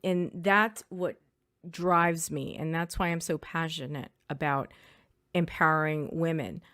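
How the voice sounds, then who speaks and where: A woman's voice: deep voice, steady tone, unhurried, fairly bright tone, no echo, studio quality. A middle-aged woman, a native Spanish speaker, answering interview questions as an attorney, earnest and thoughtful with small restarts. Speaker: estate planning attorney, guest.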